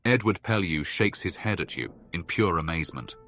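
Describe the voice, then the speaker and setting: A man's voice: low-pitched, lively intonation, moderate pace, pushed and bright, faint music behind, full character voice. A man voicing a solemn storyteller who gives scripted narration of scenes in a fantasy video game. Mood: descriptive.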